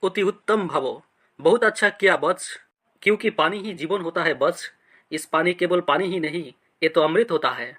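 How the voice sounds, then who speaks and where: A man's voice: high voice, a little fast, sharp tone. A man playing a pompous fake guru in a cartoon comedy, speaking in solemn blessings and chants. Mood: pompous, pious. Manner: pompous, chanting, repeating devotional catchphrases. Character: fake holy man, pompous male guru feigning meditation.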